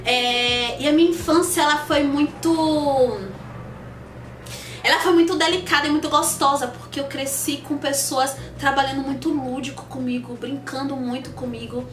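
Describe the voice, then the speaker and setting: A woman's voice: sits high, normal speed, echoey room, slight background noise. Chatty woman in her twenties. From Salvador, Bahia, Brazil. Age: 20s. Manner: chatty, animated, addresses viewers directly. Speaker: YouTube channel host. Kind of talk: casual personal storytelling to camera.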